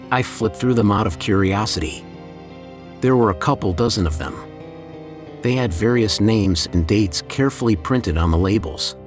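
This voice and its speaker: A man narrating a scripted first-person horror story in a measured, deliberate way, as a storyteller. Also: fairly low pitch. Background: background music.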